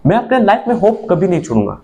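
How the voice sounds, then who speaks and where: A young man speaking an earnest motivational monologue to his viewers, mixing in English phrases and swinging between emphatic and low moments. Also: sing-song, quick pace, dark tone, dull audio. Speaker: motivational vlogger.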